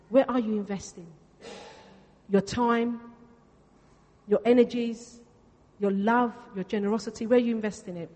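A woman's voice: on the high side, a little mellow. A woman whose first language is Greek, preaching a sermon in a church in a chatty way, repeating phrases for emphasis. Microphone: venue sound system. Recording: reverberant church hall.

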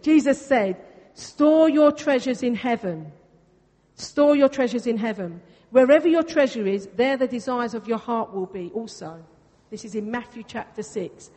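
A woman's voice: fairly high pitch, a little mellow. A woman whose first language is Greek, preaching a sermon in a church in a chatty way, repeating phrases for emphasis. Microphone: venue sound system. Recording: reverberant church hall.

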